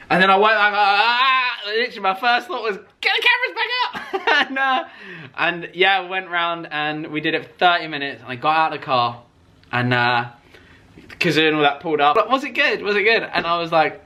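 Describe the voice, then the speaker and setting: A man telling a personal story like a vlogger, casually and excitably, with emphatic swearing and acted-out reactions. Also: on the high side.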